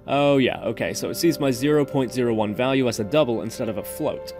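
A man's voice: mid-range voice, fast, over music. A man hosting a casual coding tutorial, talking through each step in an informal, chatty way. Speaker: tutorial host. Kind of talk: casual screen-recorded coding tutorial narration.

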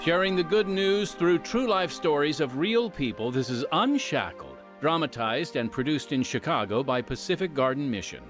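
A man narrating a scripted radio program with solemn, measured emphasis. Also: fairly high pitch, very animated, music in the background.

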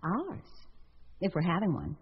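A woman's voice: fairly low pitch, wildly swooping pitch, measured pace, breathy edge, no echo, full character voice. A woman acting in a radio drama as a wry, fluttery entertainer who muses aloud about her failed proposals. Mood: needling. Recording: dull lo-fi radio sound, faint music.

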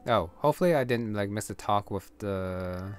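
Man, gamer, lower voice, casual commentary, thinking aloud, music behind. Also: lively intonation, moderate pace. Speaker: gamer, let's play host.

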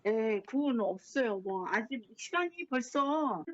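A middle-aged woman recalling her illness as a former patient in a casual interview, talking haltingly with many fillers. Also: fairly high pitch, expressive. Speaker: recovered patient. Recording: slightly dull recording.

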